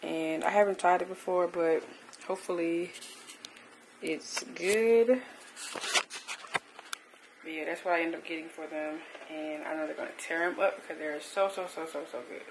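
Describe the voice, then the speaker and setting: A young woman vlogging casually to her viewers, talking in a relaxed, chatty way while filming on a phone held at arm's length. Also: on the low side, normal speed, pauses often, background noise. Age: young adult. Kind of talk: casual vlog chat to camera. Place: restaurant, supermarket and home kitchen.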